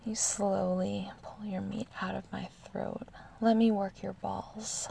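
An amorous, eager female lover, acted by a woman, whispers intimately to the listener in an ASMR audio roleplay. Her breathy voice crackles with fry and moves extremely slowly. Clear noise sits behind her. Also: mid-range voice, little pitch movement, mellow. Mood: seductive, hushed. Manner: slow, intimate, addressing the listener directly.

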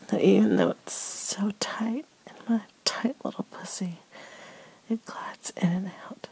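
Adult female for intimate erotic audio: breathy whisper with some fry, very unhurried, moans between phrases. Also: medium pitch, warm tone, poor audio. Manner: slow, drawn-out delivery broken by moans. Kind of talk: first-person erotic audio roleplay, intimate whispered narration.

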